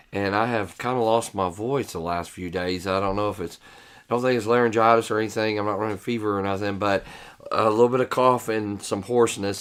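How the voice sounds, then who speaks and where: Hoarse, lower-voiced man, easygoing. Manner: relaxed, easygoing, laughs often. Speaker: show host. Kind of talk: live show chat.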